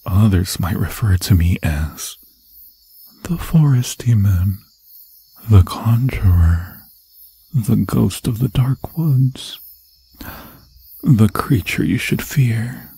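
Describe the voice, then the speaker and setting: Obsessed undead forest demon, ASMR fantasy roleplay, dark mellow tone, unhurried, whispery husky voice, close dry sound, theatrical and menacing. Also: male voice, on the low side, animated, no echo, full character voice. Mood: ominous, possessive. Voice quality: husky, breathy, often dropping to a whisper. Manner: slow, theatrical, intimate, crooning endearments in archaic formal phrasing.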